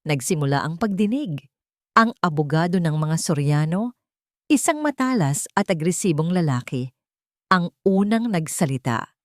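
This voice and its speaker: Woman telling a dramatic story, kinda low pitch, super expressive, dry close sound. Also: normal speed, no room sound. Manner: dramatic, solemn. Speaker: narrator. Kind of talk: scripted first-person dramatic story narration.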